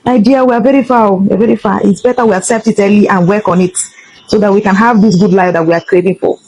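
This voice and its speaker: A woman's voice: medium pitch, fairly even intonation, fast, a little mellow, rough recording. A Nigerian woman giving an impassioned, indignant vlog rant, emphatic and slipping between English and Pidgin. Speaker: social media vlogger.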